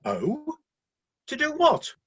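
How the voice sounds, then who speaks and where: A man's voice: very high voice, wildly swooping pitch, slow pace, dull audio, full character voice. A man acting in a radio play as a candid, doubtful heavenly aide, deferential and matter-of-fact toward the one in charge.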